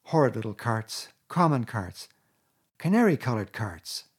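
Guy doing a giddy posh toad, way up high and loud, gushing and swooning, kinda husky. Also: big pitch swings, a little slow. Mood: dreamy. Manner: rapturous, gushing, swooping high. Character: boastful dreamy male toad, gentleman.

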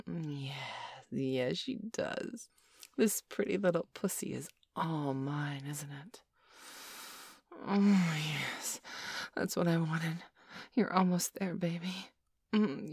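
A woman's voice: low-pitched, gravelly, breathy edge, no room sound. A woman performing an intimate roleplay, teasing and commanding, slipping often into whispers.

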